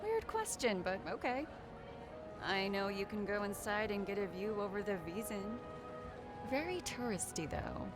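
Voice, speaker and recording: A woman's voice: medium pitch, very animated, a little slow. An actress playing a wry, playful, chatty young woman in a video game. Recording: music behind the voice.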